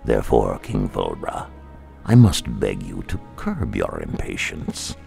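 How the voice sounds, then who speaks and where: A man acts out a sly tyrant king from a dark fantasy audiobook, with an extremely low, gravelly voice. He speaks slowly and silkily, all menacing courtesy, over music. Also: wildly swooping pitch, exaggerated voice. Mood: silky menace. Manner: slow, silky, falsely polite.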